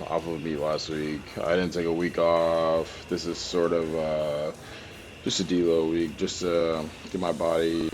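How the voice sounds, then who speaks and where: A young man with a very low voice talks over his training footage while getting over a cold. He sounds stuffy and congested and keeps clearing his throat, wandering from point to point with plenty of fillers. A faint hiss sits under the recording. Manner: rambling, many fillers and restarts. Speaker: powerlifter narrating his training. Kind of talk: casual voice-over narration.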